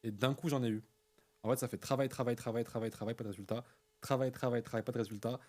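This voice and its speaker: Fast-talking young male host. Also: medium pitch. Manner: fast, energetic, repeats words for rhythm. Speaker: host and e-commerce coach. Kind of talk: casual interview hosting.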